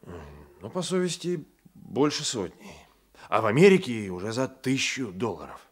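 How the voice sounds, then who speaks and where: An actor playing a sly, hesitant, folksy fur dealer in a radio drama. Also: male voice, mid-range voice, sing-song, moderate pace, husky. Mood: coy, hedging. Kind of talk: Soviet radio drama acting.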